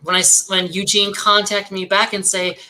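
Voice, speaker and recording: Bright-voiced young American woman, chatty. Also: mid-range voice, flat delivery, moderate pace, rough recording. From Minnesota, United States. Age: young adult. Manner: chatty, informal, rambling. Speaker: actress, podcast guest. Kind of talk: casual chat.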